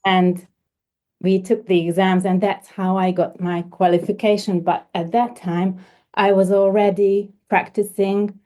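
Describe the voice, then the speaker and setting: A woman from Hungary, a homeopath guest speaking in a relaxed interview, hesitant and often repeating words as she thinks. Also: medium pitch, measured pace. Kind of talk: conversational interview.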